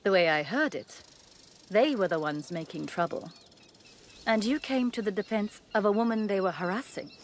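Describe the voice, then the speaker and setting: A woman's voice: mid-range voice, expressive, moderate pace, slight background noise, close to an everyday voice. An actress playing a composed, gracious young noblewoman in a video game, speaking formally. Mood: approving. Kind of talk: dramatic video game acting.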